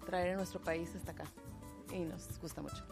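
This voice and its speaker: A woman's voice: fairly low pitch, fairly even intonation, fairly quick, gravelly. A woman from Guanajuato with a Guanajuato accent, a dancer giving brief enthusiastic answers. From Guanajuato, Mexico. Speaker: dance group member. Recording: interview with loud music behind. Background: music playing.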